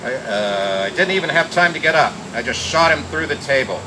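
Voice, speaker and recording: An actor playing a tough, playful private detective with a wry, flippant delivery in an old-time radio drama. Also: male voice, medium pitch, lively intonation, brisk, lightly acted. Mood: tense narration. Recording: noisy old radio recording.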